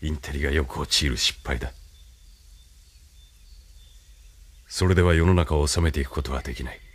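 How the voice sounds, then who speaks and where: An actor playing a calm, imperious military leader in an audio drama, with a measured, condescending, lecturing manner. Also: male voice, very deep voice, slightly rough. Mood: lecturing, assured. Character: calm, imperious male military leader, idealist turned cynical schemer. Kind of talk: anime-style audio drama acting.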